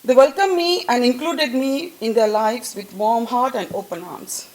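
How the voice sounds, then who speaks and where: A woman's voice: fairly high pitch, moderate pace, rough recording. Chatty woman with an Indian accent. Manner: chatty, laughing at own jokes. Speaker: colleague giving a tribute speech. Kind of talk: speech at a staff event. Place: event room with an audience.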